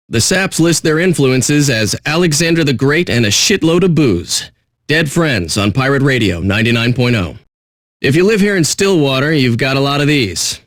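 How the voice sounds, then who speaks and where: A man's voice: mid-range voice, no echo, natural voice. Male actor as rude radio DJ, sardonic, blunt, mid-range voice, dry close sound. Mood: dismissive. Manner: sardonic, blunt, insulting, profane. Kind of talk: irreverent radio DJ patter.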